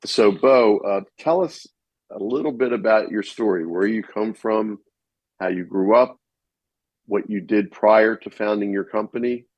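A man's voice: lowish voice, darkish tone, dry recording, highs cut off. A man hosting an interview, introducing his guest and asking friendly, rambling questions with restarts. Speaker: podcast host.

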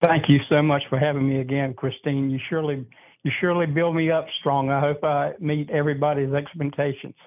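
An older man joining a radio interview as a guest, speaking in an earnest, warm-hearted way and clearing his throat now and then. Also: on the high side, flat delivery, fairly quick. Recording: tinny phone line.

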